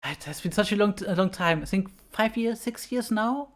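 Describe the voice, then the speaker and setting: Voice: mid-range voice, lively intonation, normal speed. Hesitant panel host. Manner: hesitant, many uhs and restarts, laughs often. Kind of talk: panel interview.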